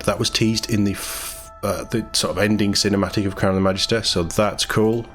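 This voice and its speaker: A man's voice: on the low side, a bit bright. A man hosting a gaming news read-through, chatty and informal, with enthusiastic commentary as he goes. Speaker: host of a gaming news channel. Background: background music.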